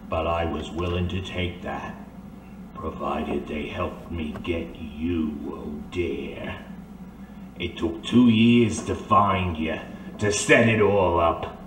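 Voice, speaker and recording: A man's voice: lowish voice, heavily acted. A disfigured crime boss in a radio detective play, menacing and unhinged. He drags his words out theatrically, full of bitterness. The room echoes, with faint noise behind. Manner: menacing, theatrical, swinging from shouts to whispers. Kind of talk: old-time radio detective drama acting.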